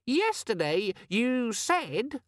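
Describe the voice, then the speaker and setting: A shrill, squeaky little demon chirps and nags from inside a pocket gadget. Its voice leaps very high and swoops all over, whiny and indignant. The sound is close and dry. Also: male voice, very animated, extremely slow, no room sound, heavily acted.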